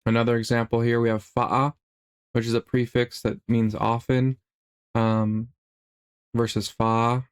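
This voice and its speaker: Flat-toned man teaching a language lesson. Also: medium pitch, measured pace, clear, resonant tone. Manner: relaxed, with many fillers and restarts. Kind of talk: slideshow lesson voice-over.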